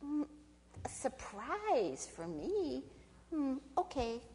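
A woman's voice: high-pitched, very animated, takes it slowly, warm tone, big-room sound, slight background noise, voice pushed up, heavily acted. A woman voicing a kindly, curious female possum in a folktale, hesitant and unsure. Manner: hesitant, humming and hedging. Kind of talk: oral folktale character.

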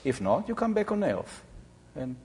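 A man in his seventies from Israel, explaining informally to an audience in a halting, reflective way. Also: on the high side, big pitch swings, darkish tone. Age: 70s. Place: large echoey room or hall. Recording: reverberant hall sound.

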